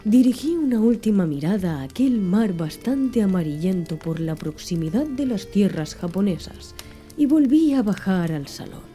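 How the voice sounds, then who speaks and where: A woman narrating a classic novel in a hushed ASMR voice, very dark and mellow, unhurried delivery. Her pitch sits in an ordinary middle range. Faint music plays softly beneath her. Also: big pitch swings. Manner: soft, slow, hushed reading. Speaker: audiobook narrator. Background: faint background music.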